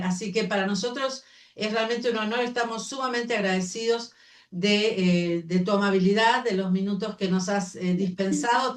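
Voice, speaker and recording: A woman host closing a livestreamed class, speaking graciously and deliberately and thanking her guest. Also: medium pitch. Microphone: video call. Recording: echoey room, distant mic.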